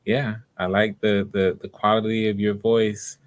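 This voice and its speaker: A man's voice: fairly low pitch, little pitch movement, a little slow. A man hosting live auditions in a casual, encouraging way, often repeating his phrases.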